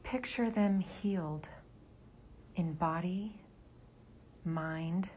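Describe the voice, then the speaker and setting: A woman leading a guided meditation in a soft, breathy near-whisper. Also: fairly low pitch, very slow, dull audio, slight background noise.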